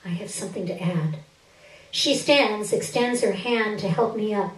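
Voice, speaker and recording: A woman's voice: mid-range voice, moderate pace. Woman reading literary fiction, distant and roomy. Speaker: reader of a short story on stage. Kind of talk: dramatic literary reading, first-person narration. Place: indoor stage, live reading. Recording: roomy stage recording, distant microphone.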